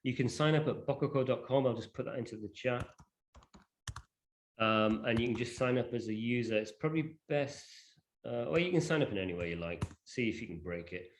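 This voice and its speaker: A man's voice: medium pitch, a little fast, a little hiss or hum. A man giving an informal online presentation and answering questions, explaining in a hesitant, conversational way. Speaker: presenter of an education product.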